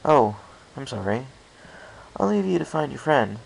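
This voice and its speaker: A man's voice: mid-range voice, sing-song, measured pace, creaky, pitched above the performer's own voice, heavily acted, rough recording. A man voicing an awkward, hesitant and polite pony new in town, in an audiobook story. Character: awkward, polite pony newcomer seeking directions.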